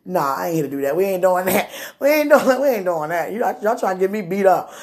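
Woman preacher, deep voice, animated testimony style, loud then hushed. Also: brisk.

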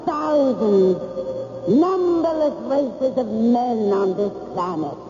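An actress playing an imperious, possessive love goddess in an old-time radio drama, grand and commanding. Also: female voice, a little high, animated, slow pace, music in the background. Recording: muffled, hissy vintage radio broadcast.

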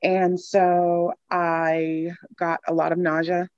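A woman from the Boston area, chatting as a podcast guest, laughing often and filling pauses with ums. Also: lowish voice, little pitch movement, darkish tone, no room sound, highs cut off. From Boston area, Massachusetts. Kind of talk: casual interview chat.

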